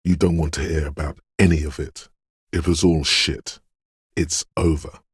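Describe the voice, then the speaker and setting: A man voicing a detective's brooding, mystical intuition in a video game, with a deep, gravelly voice and slow, ominous, dreamlike delivery. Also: steady tone, no room sound, studio quality, exaggerated voice. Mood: foreboding. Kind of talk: scripted video game narration in second person.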